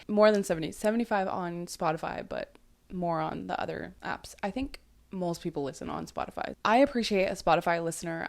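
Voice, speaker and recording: A young woman coaches listeners on dating in a loose, chatty monologue. Her voice sits slightly low and still carries morning sleepiness. She rambles with fillers and the odd casual swear. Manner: chatty, lots of likes and ums, swearing. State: sleepy voice, just woke up. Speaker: podcast host and dating coach.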